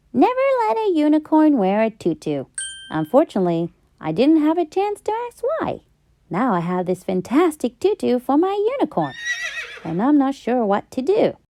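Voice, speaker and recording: Animated, high-voiced woman reading a storybook. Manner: theatrical, emphatic, drawn-out delivery. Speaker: children's storybook read-aloud host. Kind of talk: expressive scripted storytime narration for young children. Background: background music.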